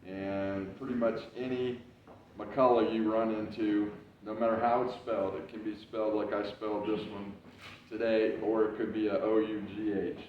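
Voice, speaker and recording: A man giving a casual library talk as a historical reenactor, with a loud voice and a loose, rambling way of telling, full of "uh"s and restarts. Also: on the low side, flat delivery, normal speed, slight background noise. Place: library meeting room. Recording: very echoey, distant, dull room recording.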